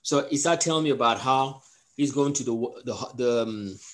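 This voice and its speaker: A man's voice: medium pitch, normal speed. A man from Cameroon who coaches a soccer team, talking casually on a podcast and often restarting his sentences. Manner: rambling, with many restarts and fillers.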